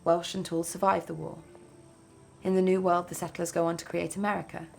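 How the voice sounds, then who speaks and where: A woman narrates a scripted video essay in a fairly low voice with noticeable vocal fry. She reads as a narrator, with clear music playing behind her. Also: little pitch movement, fairly quick, a little mellow.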